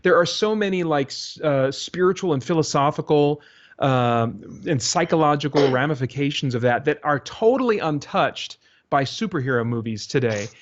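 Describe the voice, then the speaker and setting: A North American man talking casually as an interview guest, haltingly thinking aloud with false starts. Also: on the high side, animated. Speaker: interview guest, radio drama creator. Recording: dull, lo-fi audio.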